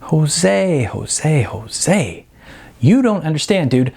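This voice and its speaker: Audiobook role: brash young male dreamer, acted by a male narrator, excitable, slangy, insistent, very animated. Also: on the high side, drawn-out words, vocal fry, breathy edge, voice pushed up, exaggerated voice. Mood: excited, cocksure. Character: excitable, cocky young male slacker dreamer, record store clerk.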